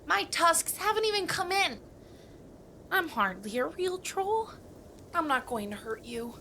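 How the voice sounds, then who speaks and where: A woman's voice: extremely high pitch, big pitch swings, unhurried, bright, forceful tone, slight background noise, exaggerated voice. A woman playing a timid, bookish young male mountain troll, hesitant and earnest, in a family audio drama. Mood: shy, gentle.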